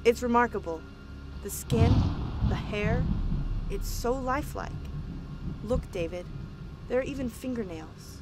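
Female doctor, acted by a woman: eager, full of wonder, highish lively voice, music clearly underneath, audio drama. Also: measured pace, long pauses, natural voice. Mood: amazed. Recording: music behind the voice.

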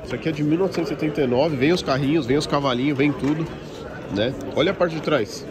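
A man's voice: a little high, fast talker. A Brazilian man with a Brazilian Portuguese accent, chatting informally and in slang as he gives a casual walk-around vlog commentary. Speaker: toy collector and vlogger. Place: busy toy convention, recorded on the move. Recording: busy crowd noise of a convention. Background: crowd chatter.

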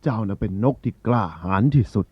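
A man's voice: on the low side, quick pace, husky, no room sound, lo-fi sound. A man playing an authoritative yet caring eagle who rules the birds in a children's story, addressing his gathering with command. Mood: consoling, solemn.

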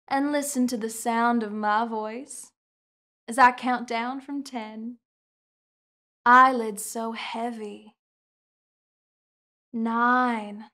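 A young woman in a studio, speaking soft, slow, soothing hypnosis roleplay with repetitive counting and commands. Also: fairly high pitch. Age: young adult. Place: indoor studio set with curtain backdrop.

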